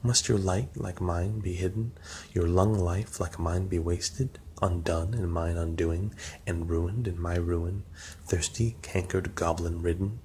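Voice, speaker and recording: A young woman cries out in remorse over her sister, read aloud by a man. The voice sits very low and soft, with a faint hiss behind it. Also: steady tone, measured pace. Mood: anguished. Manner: softly read quoted speech.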